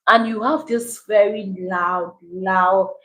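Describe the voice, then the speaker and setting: A woman calmly explaining her side in a chat with her husband, speaking in a measured, deliberate way. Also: medium pitch, low-quality recording. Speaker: wife co-hosting a couple's channel. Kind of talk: casual chat.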